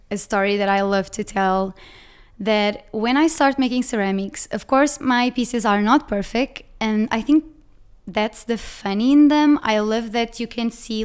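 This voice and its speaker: Young woman with a Portuguese accent, casual podcast host, crisp voice pitched a touch high. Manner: chatty, many "like" fillers. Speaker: podcast host and ceramic artist. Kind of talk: casual Q&A chat.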